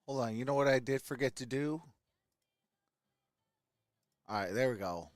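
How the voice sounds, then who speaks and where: A man's voice: mid-range voice, normal speed, no echo, clean studio sound. A man hosting a sports livestream, giving live play-by-play and chatting casually with viewers. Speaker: livestream host and play-by-play commentator. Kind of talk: live game commentary and casual chat with viewers.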